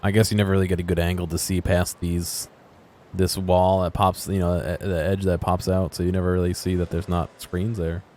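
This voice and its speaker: Low-voiced man musing through a game stream. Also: level tone, brisk, darkish tone, a little hiss or hum. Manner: musing aloud, often questioning himself. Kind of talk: casual gameplay commentary.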